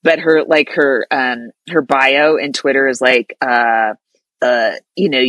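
Female comic co-host, deep voice, lively storyteller, quick to laugh, dry close mic. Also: no echo. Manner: laughs often, animated storytelling. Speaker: comedian podcast co-host. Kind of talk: casual chat.

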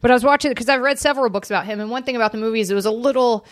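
A woman's voice: a little high, racing. A woman chatting casually as a podcast guest, informal and opinionated, with plenty of slang. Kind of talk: casual chat.

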